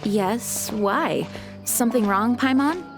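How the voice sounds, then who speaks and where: A woman playing an earnest, composed young knight leader in a video game, measured and polite. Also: on the high side, expressive, over loud music. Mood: sincere, puzzled. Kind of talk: video game character acting.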